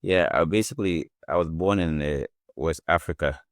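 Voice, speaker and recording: Deep-voiced man telling stories. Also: moderate pace, no echo, clean studio sound. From Ghana. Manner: rambling, relaxed storytelling with frequent "you know". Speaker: guest author. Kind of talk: casual interview.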